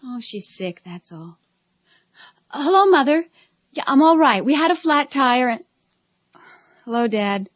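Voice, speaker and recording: A woman's voice: high-pitched, very animated, measured pace, long pauses, dry recording. An actress in a radio drama playing a sensible, slightly exasperated teenage girlfriend with a casual, girlish manner. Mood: anxious waiting.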